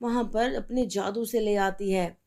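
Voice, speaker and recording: Casting: female narrator for children's stories, scripted read, medium pitch, slow pace. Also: fairly even intonation, dark tone. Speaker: storyteller.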